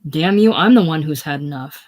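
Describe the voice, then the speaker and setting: A reader voicing a stiff, haughty young gentleman who turns curt and indignant. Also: medium pitch, big pitch swings, slow pace. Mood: angry.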